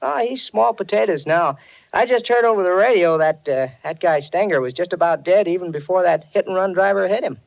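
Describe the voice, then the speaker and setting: An actor playing a chatty, sheepish garage attendant with a hesitant, rambling manner in a 1940s radio mystery. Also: male voice, high-pitched, fairly quick, creaky. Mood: gossipy. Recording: slightly muffled old radio recording.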